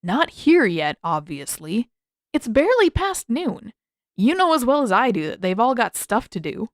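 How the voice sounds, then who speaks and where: A woman's voice: a little high, sing-song, normal speed, no echo, clean studio sound. A woman voicing a sardonic, irritable teenage boy in a fantasy story, emphatic and impatient. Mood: irritated.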